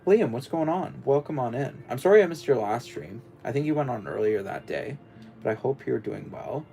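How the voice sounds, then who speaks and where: A man's voice: very animated, moderate pace, dark tone, soft background music, poor audio. A young man hosting a casual livestream, talking in a rambling, hesitant way with lots of fillers and restarts. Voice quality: deep, gravelly voice. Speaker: livestream host. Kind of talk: casual livestream chat while building.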